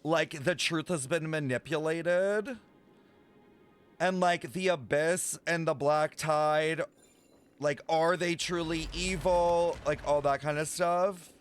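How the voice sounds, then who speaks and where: A man's voice: a little high, takes it slowly. A young man streaming, reacting casually to a game story, musing aloud with incredulous swearing. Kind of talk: casual live reaction chat. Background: game music.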